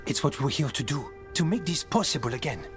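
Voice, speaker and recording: Male fantasy game warden, actor in role: hopeful, earnest, nature-loving, very animated pitch, music underneath. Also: brisk, natural voice. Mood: hopeful wonder. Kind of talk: video game dialogue acting.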